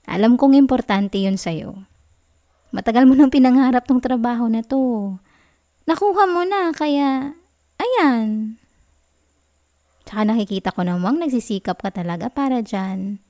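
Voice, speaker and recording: Soft, higher-pitched clingy Filipino girlfriend, acted by a woman. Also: expressive, a little slow, pauses often, dry recording, studio quality. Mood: understanding, tender. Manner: soft, whiny, affectionate pleading with sighs and giggles. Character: sweet, clingy young girlfriend, gently sulking for attention. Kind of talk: intimate ASMR girlfriend roleplay.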